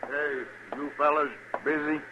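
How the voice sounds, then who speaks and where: An American actor playing a brisk, plain-spoken army storekeeper in a 1950s western radio drama, gruff and folksy. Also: male voice, on the high side, flat delivery, natural voice. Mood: businesslike. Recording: muffled, hissy vintage radio recording.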